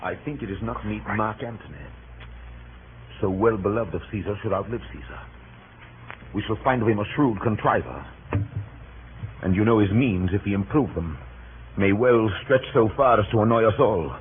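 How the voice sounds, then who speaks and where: An actor playing Cassius, a shrewd, urgent Roman conspirator, in 1930s radio Shakespeare style. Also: male voice, on the low side, animated, moderate pace, pauses often, lightly acted. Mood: urgent, persuasive. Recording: muffled, faintly noisy 1930s radio broadcast.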